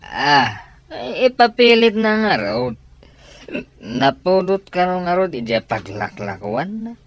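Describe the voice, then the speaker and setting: A man acting a gruff, suspicious, hard-drinking father who scolds his children in an Ilocano radio comedy drama. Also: a little high, sing-song, takes it slowly, heavily acted. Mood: drunken grumbling.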